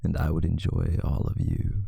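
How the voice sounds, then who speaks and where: A man voicing a possessive yet tender male vampire lover in an intimate, hushed ASMR roleplay, often slipping into a whisper. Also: little pitch movement, dry recording, full character voice. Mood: possessive, proud. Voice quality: very deep, breathy, gravelly, often whispering. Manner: slow, hushed, soothing, seductive.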